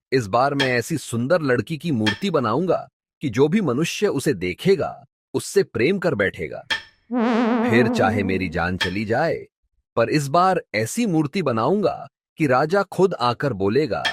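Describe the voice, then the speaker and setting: A man's voice: mid-range voice, sing-song, a little slow, slight background noise. A man playing a humble, cheeky young village sculptor in a cartoon story, complaining in a comic, dramatic, colloquial way. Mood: dramatic resolve. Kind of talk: Hindi cartoon story dubbing.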